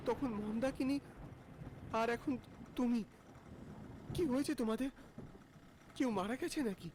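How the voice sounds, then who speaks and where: A man's voice: very high voice, unhurried, noisy background. A man playing a frightened man who narrates his own ghostly horror story in a dramatic manner. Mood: shocked, frightened. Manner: dramatic, stammering when afraid. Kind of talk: scripted first-person horror storytelling.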